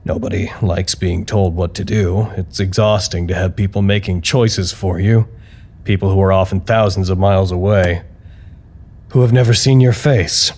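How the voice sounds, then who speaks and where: An actor playing an anxious, earnest office worker who records wry, reflective, halting confessional reports in an audio drama. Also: male voice, deep voice, fairly even intonation, a little mellow. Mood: weary, musing. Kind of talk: audio drama monologue, intimate log narration.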